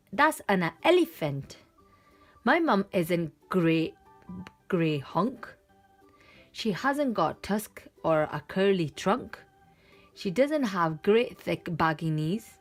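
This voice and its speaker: A woman's voice: mid-range voice, big pitch swings, takes it slowly, faint music behind. A woman voicing a small lost monkey child in a children's storybook, protesting emphatically as it searches for its mum. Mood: exasperated, protesting. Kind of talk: children's picture book read-aloud.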